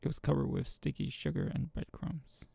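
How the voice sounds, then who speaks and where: A man reading a visual novel aloud and commenting casually as a channel host, informal and halting, with a gravelly, husky voice. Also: mid-range voice, expressive, mellow, no echo.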